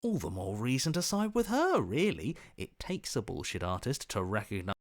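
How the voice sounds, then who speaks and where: A sly male opportunist from a video game. He is glib and fawning, with pitch that slides around expressively. It is recorded very dry. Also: wildly swooping pitch, normal speed, no echo. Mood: glib persuasion. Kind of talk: dramatic video game voice acting.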